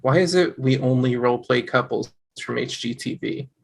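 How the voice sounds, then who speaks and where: A man's voice: mid-range voice, moderate pace, gravelly, husky. A man playing an exasperated, sarcastic young husband in a sitcom table read. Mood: sarcastic exasperation.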